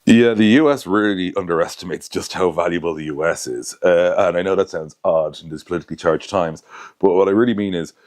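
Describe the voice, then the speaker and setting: Very deep-voiced man talking shop. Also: a little fast, darkish tone. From Ireland. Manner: rambling, self-correcting, conversational asides. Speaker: basketball commentator, channel host. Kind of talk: casual analysis to camera.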